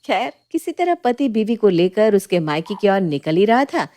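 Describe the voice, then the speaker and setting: A woman storyteller narrating a scripted family story in a lively, colloquial style. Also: medium pitch, normal speed.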